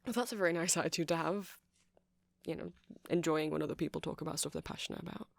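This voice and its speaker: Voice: mid-range voice, fast, creaky, no echo, studio quality, lightly acted. A performer of unclear sex playing a nerdy, eager, apologetic music-shop clerk in an ASMR roleplay, hesitant and rambling. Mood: shy, relieved. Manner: hesitant, rambling, self-deprecating, nervous little laughs.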